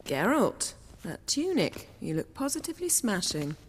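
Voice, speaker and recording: An actress playing a self-assured sorceress in a video game, poised and articulate with an arch, teasing formality. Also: female voice, mid-range voice, very animated, normal speed, slightly rough. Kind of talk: dramatic video game voice acting. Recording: long hall reverb.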